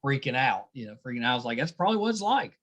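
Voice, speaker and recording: A man's voice: mid-range voice, sing-song, racing, fairly bright tone. A man hosting a casual interview, chatting as he asks questions. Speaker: podcast host interviewing a band.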